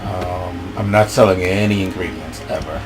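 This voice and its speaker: A man with a rough voice commenting casually on his gameplay, rambling and thinking aloud. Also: low voice, steady tone, normal speed, music in the background, rough recording. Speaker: let's play host.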